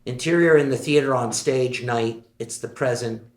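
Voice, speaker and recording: A man reading stage directions aloud in a measured, steady way at a screenplay table read. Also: mid-range voice. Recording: echoey room.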